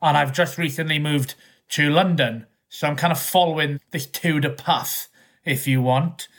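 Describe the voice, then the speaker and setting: Welsh guy in his 30s, historian guest, thinks out loud and restarts a bit. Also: fairly high pitch, fairly even intonation, normal speed. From South West Wales. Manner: thoughtful, rambling with small restarts. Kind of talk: conversational interview.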